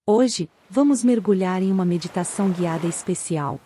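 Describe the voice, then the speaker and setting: A Brazilian woman narrating a guided meditation in a calm, soothing way with long pauses. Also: lowish voice, a little hiss or hum. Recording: ocean waves behind the voice. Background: sound of sea waves.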